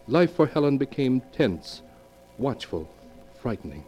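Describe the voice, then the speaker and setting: An actor playing the grave narrator of a 1940s radio mystery, reading in a dramatic, portentous way. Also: male voice, mid-range voice, big pitch swings, a little slow, dark tone. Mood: ominous. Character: grave male narrator of mystery and terror tales. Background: music.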